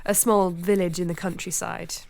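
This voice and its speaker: A woman's voice: fairly low pitch, flat delivery, normal speed, creaky, darkish tone. An actress playing a polite, dreamy young Victorian lady, formal and earnest in a period radio drama. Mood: reflective. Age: young adult.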